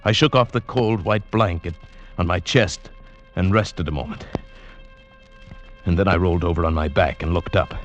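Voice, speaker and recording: A man's voice: low-pitched, moderate pace, long pauses, lo-fi sound, lightly acted. A man narrating as a shaken climber. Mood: tense. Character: adult male climber recounting his fall. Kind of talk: 1940s radio acting. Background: music.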